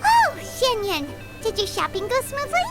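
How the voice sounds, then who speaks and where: A tiny fairy sidekick from an anime game, with a very high, squeaky, childlike voice. The delivery swoops about, chirpy and bossy, prone to whining. Prominent music plays behind. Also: female voice, wildly swooping pitch, normal speed, heavily acted. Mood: chirpy, curious. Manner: speaks of self in third person, exclamatory.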